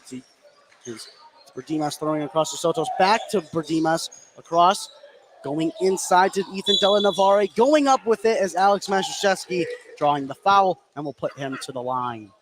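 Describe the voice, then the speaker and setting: A man's voice: a little high, normal speed. A man doing live radio play-by-play of a basketball game, working as the main announcer. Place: indoor basketball gym. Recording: noisy gym ambience behind.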